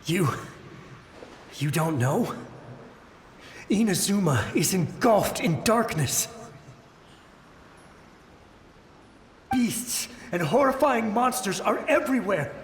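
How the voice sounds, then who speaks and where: Desperate soldier ghost, high-pitched, fraught, declamatory, video game, heavy echo, faint ambient noise. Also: male voice, big pitch swings, slow pace, long pauses, heavily acted. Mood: horrified. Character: desperate, haunted male soldier ghost, loyal and fearful. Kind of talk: dramatic video game voice acting. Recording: echoing long reverb, ambient noise.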